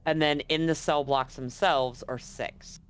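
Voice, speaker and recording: A man's voice: on the high side, steady tone, unhurried, smooth. A man running a tabletop role-playing game as game master, narrating scenes and options in a casual, conversational way.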